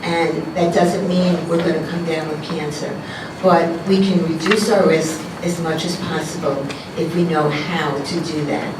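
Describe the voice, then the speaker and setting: Low-voiced woman moderating in an echoey hall. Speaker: forum moderator. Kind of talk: public forum remarks. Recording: echoey hall, distant mic, noisy.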